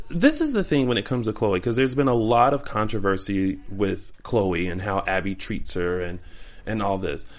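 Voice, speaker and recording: A man co-hosting a casual fan panel chat, rambling and joking with frequent ums. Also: lowish voice. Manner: rambling, jokey, hesitant with ums. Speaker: co-host.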